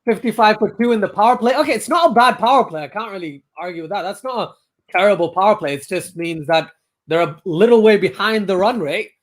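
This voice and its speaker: A man hosting a cricket watchalong stream, reading viewer comments aloud and updating the score in a relaxed, chatty way. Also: high-pitched, fast talker. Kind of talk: casual chat over a live stream.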